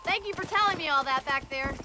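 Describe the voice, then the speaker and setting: An actress playing a plain-spoken, caring American rancher's daughter in a western video game, frank and dryly ironic. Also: female voice, high-pitched, fast talker, noisy background, lightly acted. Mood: warm, teasing.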